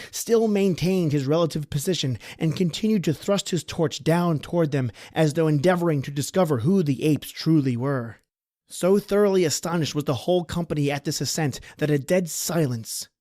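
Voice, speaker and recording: A man narrating a horror story as podcast host, with theatrical, deliberate phrasing. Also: a little high, darkish tone, dry recording, studio quality.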